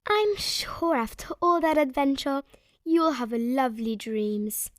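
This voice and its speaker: A woman voicing a gentle, soothing mother pig in a children's bedtime storybook. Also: sits high, a little slow, no echo, clean studio sound.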